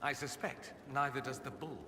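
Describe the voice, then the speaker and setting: A man's voice: medium pitch, steady tone, moderate pace, natural voice. A man playing a witty, proud aristocratic mage in a video game, arch and droll. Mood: wry, sheepish. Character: witty, proud male aristocratic mage, dryly self-mocking. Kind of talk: video game character acting. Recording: roomy long reverb, noisy ambience.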